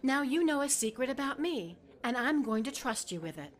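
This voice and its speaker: A woman's voice: high voice, very animated, moderate pace. An actress playing a concerned middle-aged mother, firm and coaxing, in a naturalistic web drama.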